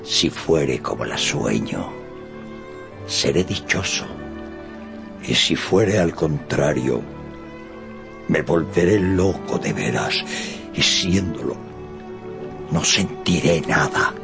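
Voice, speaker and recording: An actor playing a deluded, love-struck old knight errant with pompous, flowery declamation in a radio drama. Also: male voice, a bass voice, lively intonation, slow pace, gravelly, long pauses, full character voice. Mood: lovelorn, solemn. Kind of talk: Spanish-language radio drama acting. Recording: studio radio drama with background music.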